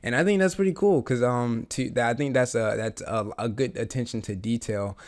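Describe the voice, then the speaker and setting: A man with a raspy voice, just getting over the flu, talking through a TV episode in a rambling, eager way with lots of fillers. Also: mid-range voice, moderate pace, darkish tone. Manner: rambling, many fillers and restarts, eager fan. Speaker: reaction channel host. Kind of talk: casual reaction commentary.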